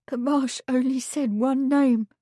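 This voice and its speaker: A woman's voice: sits high, fairly even intonation, measured pace, warm tone, no room sound, clean studio sound, pitched above the performer's own voice. A woman voicing a frightened young housemaid who talks in rustic dialect and pleads through tears. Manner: rustic dialect, sobbing pleas.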